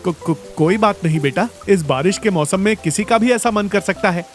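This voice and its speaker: Humble middle-aged dhaba keeper for a cartoon tale, male actor, high-pitched, gentle and reassuring, over soft music. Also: normal speed, close to an everyday voice. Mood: kind. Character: kindly middle-aged husband, humble village food-stall keeper.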